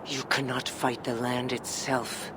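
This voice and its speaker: A cold, menacing otherworldly being for a video game cutscene. She speaks very slowly and knowingly, taunting with measured words over loud rumbling noise. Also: flat delivery. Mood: ominous.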